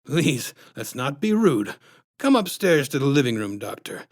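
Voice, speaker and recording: A narrator voicing a father who nervously tries to calm everyone down. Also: medium pitch, unhurried, dry recording, studio quality. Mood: placating. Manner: nervous, smoothing things over.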